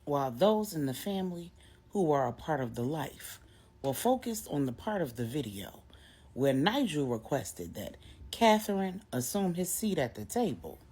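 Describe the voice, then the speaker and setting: A woman narrating a dramatic story for adults in a wry, deliberate, emphatic way. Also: low voice, very animated, warm tone, breathy edge.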